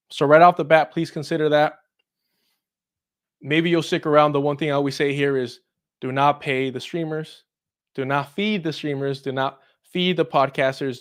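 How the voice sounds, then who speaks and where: Casting: male talk host, fairly high voice, irreverent and sweary, given to chuckles during casual political commentary.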